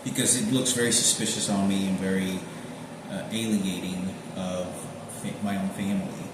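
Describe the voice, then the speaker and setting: A man rambling through personal memories in a halting, repetitive way, often searching for names. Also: low voice, flat delivery. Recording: very echoey room with noticeable background noise.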